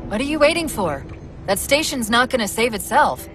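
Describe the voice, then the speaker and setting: A woman playing a quick-witted, businesslike female artificial intelligence in a video game, brisk and sardonic. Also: fairly high pitch, big pitch swings, music in the background. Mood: brisk, impatient. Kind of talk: video game cutscene acting.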